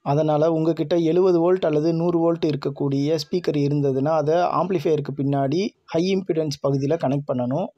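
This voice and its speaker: A man's voice: on the high side, fairly even intonation, brisk, mellow, dry recording. A man narrating a scripted tutorial in a methodical, explanatory manner. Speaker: tutorial presenter. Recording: dull lo-fi audio.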